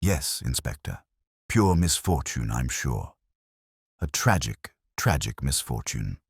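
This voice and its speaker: An anxious village vicar, played by a male narrator, very low in pitch. He is fretful and eager to placate, in the style of a storybook mystery, recorded dry and close. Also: big pitch swings, slow, husky, no room sound, exaggerated voice. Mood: anxiously placating. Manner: hesitant, fretful, clerical.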